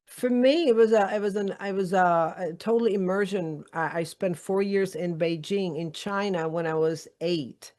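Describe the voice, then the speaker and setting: A woman's voice: lowish voice, moderate pace, few pauses, a little mellow. A woman podcast host, talking in a conversational way and often restarting her phrases. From Czech Republic. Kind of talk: casual interview chat.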